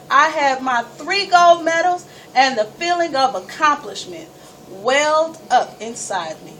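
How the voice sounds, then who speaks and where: Distant, higher-pitched woman reading a story aloud. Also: fairly bright tone. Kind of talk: expressive read-aloud of a first-person story. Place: live event space, recorded from a distance. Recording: distant mic, noisy room ambience.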